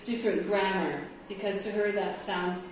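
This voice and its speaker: A woman's voice: medium pitch, fairly even intonation, normal speed, a little hiss or hum. A woman from California leading a classroom discussion as an English teacher trainer, chatty and checking in with her group. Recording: roomy echo, mic from a distance.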